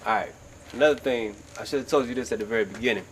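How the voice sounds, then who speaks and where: A man getting over a cold walks viewers through a how-to job beside a car outdoors, sniffling and clearing his throat. He talks in a relaxed, chatty way with lots of fillers, at a middling pitch. His camera mic picks up clear outdoor ambient noise behind him. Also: rapid-fire. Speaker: DIY video maker. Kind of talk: casual how-to tutorial.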